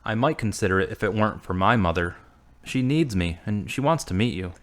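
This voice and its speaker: A man plays a devout boyfriend in an audio drama. His voice is deep and he speaks in a measured, earnest way. He comes across as gentle, steady and reassuring. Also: close to an everyday voice. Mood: thoughtful.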